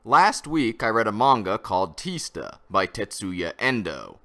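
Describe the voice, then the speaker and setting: A man's voice: fairly low pitch, very animated, measured pace, fairly bright tone, soft background music. A man narrating a scripted review in an opinionated, conversational way. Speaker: comic and manga reviewer.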